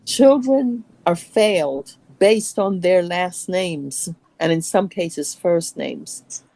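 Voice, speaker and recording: A woman from England with a lowish voice, co-hosting a podcast. She talks earnestly in long, flowing runs, with quick asides mixed in. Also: warm tone, dry recording. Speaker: podcast co-host. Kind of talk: casual podcast discussion.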